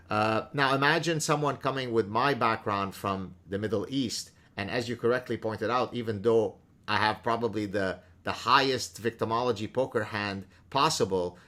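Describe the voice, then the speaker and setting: A man in his fifties, born in Lebanon with Arabic as his first language, hosting a conversation with quick, emphatic bursts. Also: lowish voice, fairly bright tone. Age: 50s. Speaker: podcast host and professor. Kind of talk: casual conversation.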